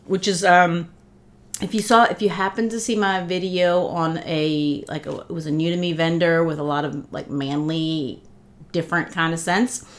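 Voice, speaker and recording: A woman's voice: lowish voice, steady tone, moderate pace. A middle-aged woman chatting casually while unboxing a gift, a bit congested from a cold, with lots of fillers and heartfelt thanks, recorded seated in her living room. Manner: chatty, warm thanks, many fillers and restarts. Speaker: vlogger.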